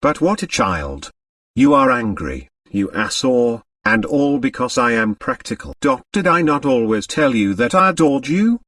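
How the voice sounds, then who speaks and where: A man's voice: medium pitch, sing-song, measured pace, fairly bright tone, no room sound, studio quality, recorded from a distance, exaggerated voice. A man voicing a seductive, possessive foreign woman dancer in an audiobook, coaxing and emphatic. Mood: coaxing, adoring. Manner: dramatic, coaxing, emphatic repetition. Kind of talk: audiobook character acting.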